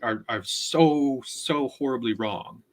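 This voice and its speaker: A man's voice: medium pitch, slow pace. A man co-hosting a casual discussion, repeating and rephrasing his words for emphasis. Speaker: co-host.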